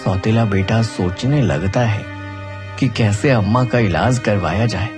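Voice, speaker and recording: A man narrates a scripted moral story. His voice sits a bit low, in the role of storyteller. Music plays clearly behind him. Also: a little slow.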